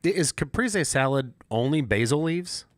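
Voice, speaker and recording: A man's voice: on the high side, lively intonation, normal speed, dry recording, clean studio sound. A man co-hosting a podcast, chatting casually in short remarks and questions. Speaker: podcast co-host. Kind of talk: casual chat, short interjections.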